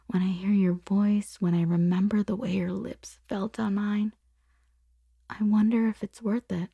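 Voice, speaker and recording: A woman playing a conflicted boss confessing forbidden desire in an intimate, breathy, whispered ASMR roleplay monologue. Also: medium pitch, mellow, dry recording. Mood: yearning, tempted. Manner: slow, intimate, halting delivery.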